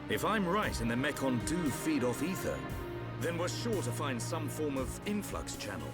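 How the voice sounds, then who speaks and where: An actor playing a grave, composed warrior leader in a video game, speaking in a measured, commanding, formal way. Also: male voice, fairly high pitch, very animated. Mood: grave, determined. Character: resolute, battle-seasoned male warrior leader, grave and composed. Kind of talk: dramatic video game cutscene acting. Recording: music behind the voice.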